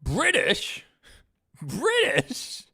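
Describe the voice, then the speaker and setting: A man with a New York accent chatting casually as he works on animation, animated, joking and prone to swearing. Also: extremely high pitch, very slow, husky, no room sound, studio quality. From Queens, New York. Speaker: streamer and animator. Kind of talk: casual reacting and chat while working.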